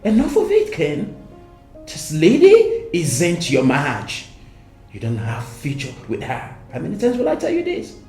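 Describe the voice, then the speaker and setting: An actor playing a blunt friend who lectures with exasperation. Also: male voice, fairly high pitch, huge pitch swings, unhurried, a little mellow, faint music behind. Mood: lecturing. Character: blunt friend giving advice. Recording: echoey room.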